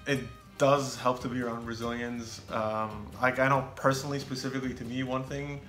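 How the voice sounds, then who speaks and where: Young american guy on a vlog, bright voice, echoey room, bit of music behind. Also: mid-range voice, brisk. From United States. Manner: relaxed, rambling with fillers. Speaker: co-host. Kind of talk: casual chat.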